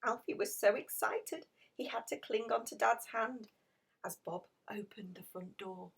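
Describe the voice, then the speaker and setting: A woman reading a children's picture story aloud in an expressive, dramatic storytelling manner. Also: on the high side, unhurried, gravelly, husky, recorded from a distance. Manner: animated, dramatic emphasis with hushed passages. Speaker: storybook reader.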